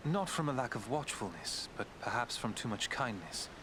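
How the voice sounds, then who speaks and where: An actor playing a noble woodland elf prince in a fantasy game, speaking in a formal, measured, solemn manner. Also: male voice, medium pitch, sing-song, lightly acted. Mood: regretful, grave. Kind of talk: fantasy video game character acting. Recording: clear background ambient noise.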